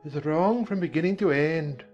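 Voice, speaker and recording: Slow, haughty storybook caterpillar played by an actor. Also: mid-range voice, darkish tone, dull audio, faint music behind, close to an everyday voice. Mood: dismissive, contrary. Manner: slow, drawn-out, terse questioning and contradicting. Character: curt, contrary, haughty caterpillar smoking a hookah. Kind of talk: dramatized audiobook character acting.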